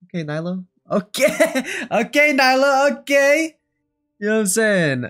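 A man's voice: very high voice, very animated, measured pace. A man in his twenties giving casual, slangy reaction commentary, exclaiming and repeating himself. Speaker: reaction streamer. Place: small bedroom streaming setup, indoors. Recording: loud music from played videos. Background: game trailer music.

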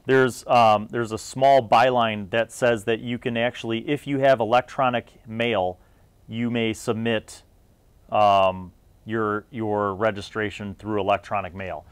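A man's voice: fairly low pitch, little pitch movement, measured pace, smooth, no echo. A middle-aged man hosting a video series, talking to viewers in a conversational, emphatic way with trailing asides. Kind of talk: retrospective review talk to viewers.

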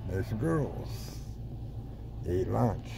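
Slow-talking older man in a car wash. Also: fairly low pitch, animated, vocal fry. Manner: unhurried, mumbling, easily amused. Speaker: vlogger. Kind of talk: casual running commentary. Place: inside a car in an automatic car wash. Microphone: phone or camera on the dashboard. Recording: loud car wash noise behind.

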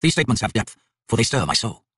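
A man voicing a grave, wry prehistoric clan leader in a storybook, slow and weighty. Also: on the low side, big pitch swings, a bit bright, breathy edge, no echo, clean studio sound, exaggerated voice. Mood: grave, brooding.

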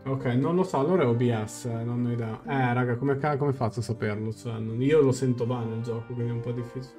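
A man's voice: mid-range voice, fairly even intonation, fast talker, warm tone. A man in his twenties with an Italian accent, giving casual live game reactions and translations. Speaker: game streamer. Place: small room at home. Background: faint game music.

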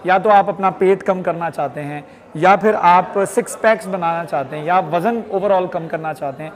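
A man, a fitness vlogger giving an earnest motivational talk to camera, slipping English phrases into his speech. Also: high-pitched. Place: indoor gym with green wall. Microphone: lapel mic. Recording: reverberant gym room.

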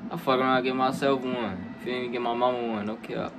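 A man's voice: medium pitch, fairly even intonation, fast. A young man giving casual, slangy reaction commentary full of swearing. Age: young adult. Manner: slangy, frequent swearing, informal address. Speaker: reaction video host. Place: small dim room at a gaming chair. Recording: noisy, game audio behind the voice.

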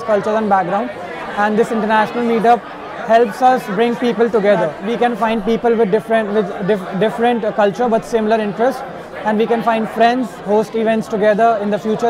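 A man's voice: sits high, rough recording. A man in his twenties with an Indian accent, giving a casual testimonial as an event attendee. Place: large busy indoor venue. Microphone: clip-on lapel. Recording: busy crowd chatter behind.

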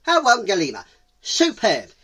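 A storyteller voicing an eager, boastful cheetah with an excitable, self-assured manner for a children's tale. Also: sits high, a little slow, voice pushed up.

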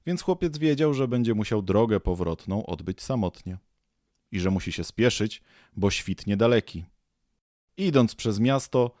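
Low-voiced man narrating a story. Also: dark tone, dry recording, clean studio sound. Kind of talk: scripted storybook narration for a radio play.

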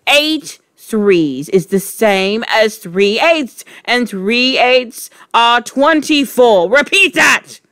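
A woman performs a storybook headmistress, fierce and domineering. She barks her words loudly and slowly, with heavy contempt for whoever she addresses. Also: mid-range voice, animated, no echo, clean studio sound. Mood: furious shouting. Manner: barking, domineering.

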